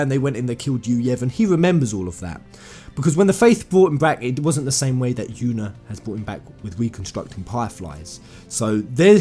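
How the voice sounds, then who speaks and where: A man's voice: medium pitch, a little mellow, breathy edge. A man giving an informal explainer commentary on video game lore, talking conversationally and emphatically to his viewers. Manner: conversational, addresses viewers as guys, emphatic phrasing.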